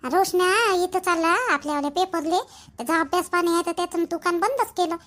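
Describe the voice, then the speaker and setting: A woman's voice: very high voice, very animated, moderate pace, a bit bright, exaggerated voice. A woman voicing a timid, resentful young daughter-in-law in a family cartoon, muttering hesitantly in a Varhadi Marathi accent. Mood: scheming. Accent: Varhadi Marathi (Vidarbha). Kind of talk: animated family drama acting.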